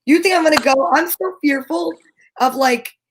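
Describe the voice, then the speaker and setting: An American woman with a distinctly high-pitched voice talks casually and bluntly, stressing her opinions. She sounds like a talk show co-host in easy conversation. There is some faint noise and clicking on the recording. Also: normal speed. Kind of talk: casual chat.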